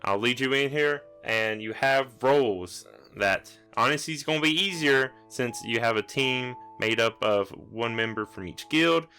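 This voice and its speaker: A man voicing a casual monster training guide who rambles through explanations in an offhand, halting way. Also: medium pitch, bright, forceful tone, clear, resonant tone, faint music behind. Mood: casual, instructive.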